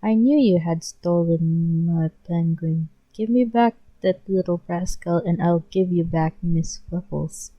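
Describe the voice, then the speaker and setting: A woman's voice: on the low side, a little slow, few pauses, no echo. A woman voicing a half-asleep, rambling teenage boy athlete in a storybook reading, on a muffled home recording. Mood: sleepy delirium. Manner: drowsy, rambling. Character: delirious, half-asleep teenage baseball boy, playfully accusing.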